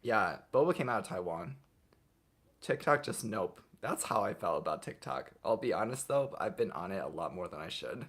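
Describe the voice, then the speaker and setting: Husky-voiced young man chatting on a livestream. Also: fairly low pitch, normal speed. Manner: relaxed, reads chat comments aloud and answers off the cuff. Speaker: livestream host answering chat. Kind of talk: casual livestream chat.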